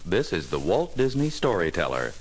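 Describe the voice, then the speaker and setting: A man's voice: low-pitched, very animated, moderate pace. A man narrating a scripted children's story record in a dramatic, inviting way. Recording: loud music behind the voice.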